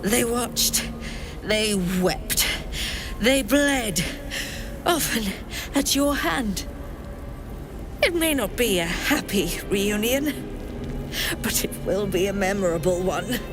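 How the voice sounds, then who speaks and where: Brief: imperious, cruel female cult mentor for fantasy game, deliberate slow delivery, grandiose and taunting, loud music underneath. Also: mid-range voice, animated. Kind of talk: theatrical fantasy game acting. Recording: music playing behind the voice.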